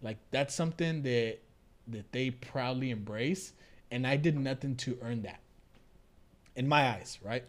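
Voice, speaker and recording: A man's voice: mid-range voice, measured pace. Man musing in casual talk. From United States. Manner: thoughtful, explains at length with restarts and laughs. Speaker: podcast co-host. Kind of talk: casual conversational chat.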